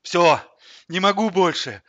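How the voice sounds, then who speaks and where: A man's voice: mid-range voice, normal speed, a bit bright, dry recording, dull audio, close to an everyday voice. A man voicing a hapless, whiny gangster henchman in an audiobook story, hesitant and given to wailing laments. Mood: exhausted, desperate.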